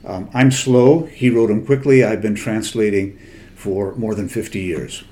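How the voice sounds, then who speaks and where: An older man with a somewhat low voice, speaking as a literary translator in a relaxed lecture. He talks in a thoughtful, wandering way, often pausing and correcting himself as he goes. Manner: thoughtful, digressive, many hesitations and self-corrections. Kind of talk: conversational lecture.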